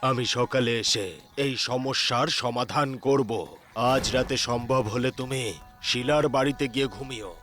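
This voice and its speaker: A man voices a village priest who fights spirits in a horror tale. He speaks solemnly at a middling pitch, explaining things gravely, with faint music behind. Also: steady tone, normal speed, fairly bright tone, lightly acted. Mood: grave, warning. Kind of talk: dramatized horror story acting.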